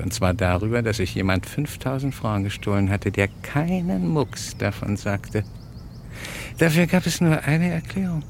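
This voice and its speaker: Gravelly, low-voiced smug blackmailer. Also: male voice. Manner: smug, insistent, coolly taunting. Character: cunning, smug male blackmailer and hotel thief. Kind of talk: 1960s German radio crime drama acting. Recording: noisy old radio drama recording.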